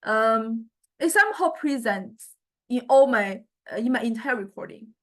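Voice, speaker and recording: A woman lecturer giving an informal software demonstration, explaining haltingly and often checking in with listeners. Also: fairly high pitch, lively intonation. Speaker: university lecturer.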